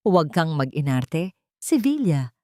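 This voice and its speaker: A woman's voice: low voice, sing-song, measured pace, dark tone, no room sound, clean studio sound. Cold, scheming older mother-in-law voiced by a woman. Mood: scornful. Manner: cold, calculating, commanding. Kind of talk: dramatized audio story.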